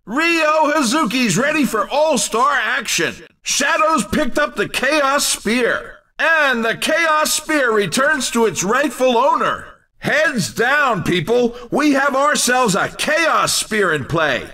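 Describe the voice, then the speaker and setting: A man voicing an excitable, cheeky race commentator in a video game, with exclamatory, hammy and playful announcer delivery in short clips. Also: extremely high pitch, takes it slowly, distant mic, full character voice. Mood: excited. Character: excitable, cheeky male arcade racing commentator.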